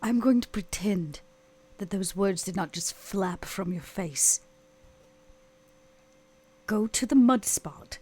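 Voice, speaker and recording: A woman playing a curt, exasperated vampire boss in a tabletop game, with clipped, withering delivery and a husky voice. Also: medium pitch, takes it slowly, long pauses, a little mellow.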